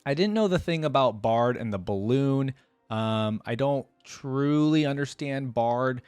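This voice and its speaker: A man's voice: mid-range voice, lively intonation, a little slow, a little mellow, smooth, no echo. A man talking casually as a reaction video host, rambling conversationally to viewers with frequent hedging asides. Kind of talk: casual unscripted game reaction commentary to viewers.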